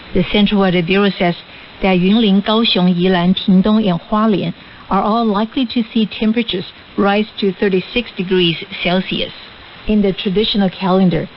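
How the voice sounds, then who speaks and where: A woman's voice: medium pitch, lo-fi sound. A woman presenting scripted radio news on a shortwave broadcast.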